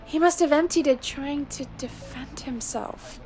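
A woman acting an excitable, worried radio producer in a dramatic video game. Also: sits high, unhurried, soft background music, low-quality recording.

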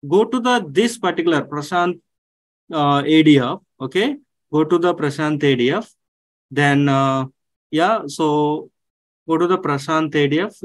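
A man in his thirties walking viewers through a software task on screen, step by step, with many "okay" and "so" fillers. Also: on the high side, no room sound. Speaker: online tech instructor. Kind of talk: screen-share tutorial walkthrough. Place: indoors at a desk.

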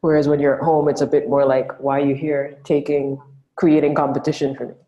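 A woman's voice: deep voice, little pitch movement, fairly quick, mellow, dull audio. A woman hosting a podcast, asking questions and responding in a conversational way. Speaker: podcast host. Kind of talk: conversational interviewing. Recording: roomy, echoey sound.

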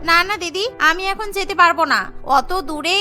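Woman playing very high, plaintive young village wife. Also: fairly quick, sharp tone, soft background music. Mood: whiny. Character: whiny, clever young village sister-in-law. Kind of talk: Bengali cartoon dialogue.

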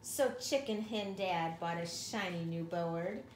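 A woman's voice: lowish voice, takes it slowly. A middle-aged woman reading a picture book aloud to young children, expressively, like a teacher addressing her class. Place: living room at home, indoors. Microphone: camera or phone a short distance away. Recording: roomy home recording with faint noise.

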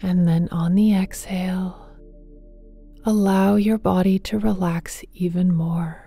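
Female meditation guide: slow, velvety and very mellow, gentle hushed delivery drifting toward breathy near-whisper, tender toward the listener, faint music underneath. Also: fairly low pitch, fairly even intonation. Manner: tender, affectionate address to listener. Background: soft meditation music with singing bowls.

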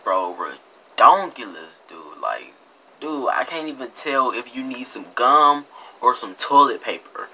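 A man's voice: mid-range voice, pushed and bright, a little hiss or hum. A young American man giving casual, slangy comedic commentary, mocking and exasperated, often repeating himself. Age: young adult. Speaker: reaction video host. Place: indoor room with green screen.

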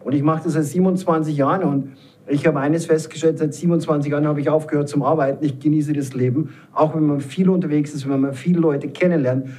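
A man in his fifties giving an enthusiastic, emphatic network marketing pitch, repeating words for stress. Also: fairly even intonation, fast talker, mellow, slightly rough. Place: indoor event room, panel seated around a table.

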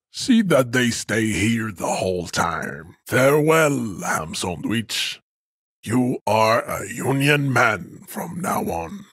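A man's voice: mid-range voice, fairly bright tone, slightly rough, dry recording, clean studio sound, full character voice. An actor playing a pompous, stoic strongman in a video game, declaiming haughty, grandiose speeches. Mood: solemn, grudging respect. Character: pompous, stoic, hulking male race-theorist strongman. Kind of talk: video game character acting.

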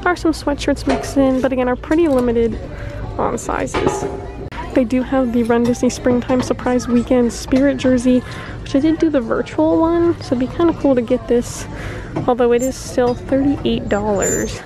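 A woman's voice: sits high, moderate pace. A young woman filming herself walking through a store on a handheld phone, chatting casually and reading out prices as she gushes over the items. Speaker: vlogger touring an outlet store. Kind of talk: casual shopping walkthrough. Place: indoor retail store. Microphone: handheld phone camera. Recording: store with background music playing.

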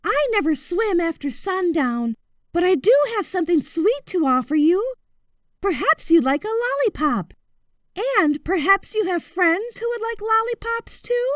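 A woman's voice: extremely high pitch, big pitch swings, measured pace, dry recording, clean studio sound, voice pushed up, full character voice. A woman voicing a courteous, resourceful boy adventurer in a children's storybook, with a persuasive manner. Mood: politely offering. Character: chirpy, polite, resourceful young boy adventurer.

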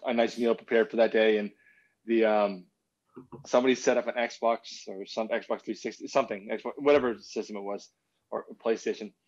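A man's voice: on the low side, steady tone, normal speed, darkish tone. Slightly dull-sounding man in rambling casual chat. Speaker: podcast co-host. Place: room at home, video call. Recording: slightly dull lo-fi call audio.

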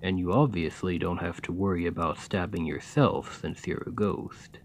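A man playing a kind, patient gravedigger in a soft, soothing, encouraging ASMR roleplay. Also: low-pitched, steady tone, moderate pace, creaky, a little hiss or hum. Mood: gentle encouraging. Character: kind, gentle gravedigger, patient and playfully fond. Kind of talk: ASMR roleplay, scripted comforting monologue.

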